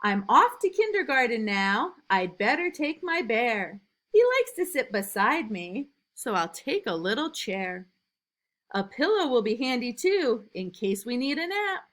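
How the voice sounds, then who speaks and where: A woman reading a rhyming storybook as an eager, imaginative little boy, in an emphatic sing-song with drawn-out line endings. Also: a little high, big pitch swings, fairly bright tone, voice pushed up. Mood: eager, excited. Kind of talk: rhyming storybook read aloud.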